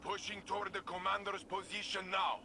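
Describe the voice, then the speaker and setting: An actor playing a proud, hearty titan warrior in a video game, with emphatic and grandiose formal phrasing. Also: male voice, high voice, little pitch movement, brisk, pushed and bright, slight background noise, full character voice. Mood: triumphant. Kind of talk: dramatic game voice acting.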